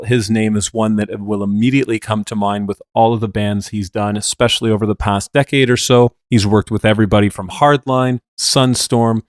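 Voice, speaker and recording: A man's voice: lowish voice, no room sound, clean studio sound. Conversational male radio host. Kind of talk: radio interview.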